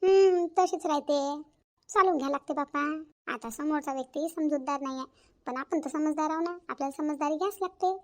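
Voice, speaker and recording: Lady doing a bossy village saas for a cartoon, high voice, talks fast, varhadi marathi accent, full of herself. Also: darkish tone, natural voice. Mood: boastful. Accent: Varhadi Marathi of Vidarbha, India. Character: bossy, self-praising village mother and mother-in-law. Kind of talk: Marathi family cartoon story acting.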